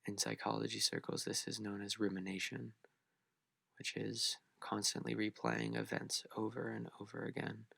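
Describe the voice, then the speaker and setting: A man leading a beginners' guided meditation, speaking gently and unhurriedly with long pauses. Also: on the low side, vocal fry, dry recording.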